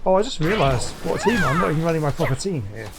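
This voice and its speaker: A man's voice: a little high, sing-song, quick pace. A man giving casual running commentary on a game, half talking to himself as he plays. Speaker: gaming YouTuber. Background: game music.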